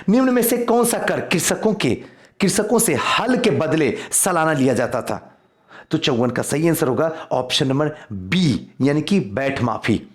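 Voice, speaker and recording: A man teaching an exam quiz lesson, reading out questions and repeating key answers for emphasis. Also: a little high. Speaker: history teacher for civil service exam coaching.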